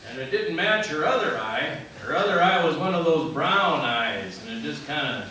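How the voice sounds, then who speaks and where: A bright-voiced southern storyteller played by a man rambles fondly and earnestly through a tall tale. The voice swings high and animated, from far back in a very echoey hall. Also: moderate pace. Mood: earnest, rambling. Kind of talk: folksy comic monologue on stage. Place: live radio theater stage. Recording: very echoey theater, far mic, faint noise.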